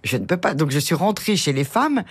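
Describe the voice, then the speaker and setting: Husky, animated woman on a radio panel. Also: deep voice, fairly quick, no echo. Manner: animated, enthusiastic storyteller. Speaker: panel guest. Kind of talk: chatty panel storytelling. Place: radio studio.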